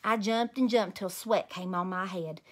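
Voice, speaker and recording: A woman's voice: mid-range voice, normal speed. A woman in her thirties reading a storybook aloud expressively as a host for young children. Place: small home room indoors.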